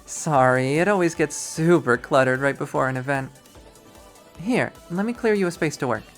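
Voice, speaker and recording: A man's voice: a little high, animated, unhurried, soft background music. A man voicing a friendly young college woman in a visual novel, casual and chirpy. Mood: friendly, welcoming.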